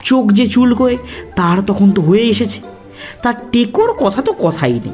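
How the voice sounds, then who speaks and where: A woman narrating a scripted cartoon story in an expressive, dramatic storyteller's manner. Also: medium pitch, moderate pace, soft background music. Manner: animated, dramatic delivery.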